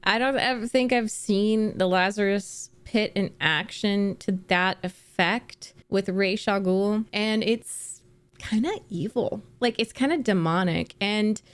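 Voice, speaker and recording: A woman's voice: mid-range voice, takes it slowly, clear, resonant tone. A young woman hosting a reaction video, chatting casually, giggling and musing aloud.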